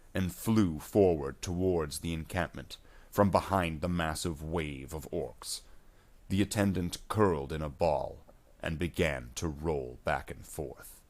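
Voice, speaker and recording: Deep-voiced man narrating a dramatic story. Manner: measured storytelling delivery without character voices. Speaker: narrator of a science fiction war story.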